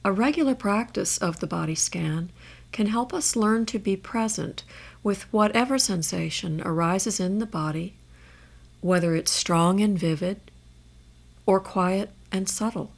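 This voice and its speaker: A woman guiding a meditation in a measured, gentle way, leaving space between her phrases. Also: mid-range voice, gravelly. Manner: measured, gentle instruction with long pauses. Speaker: meditation guide. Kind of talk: guided body scan meditation.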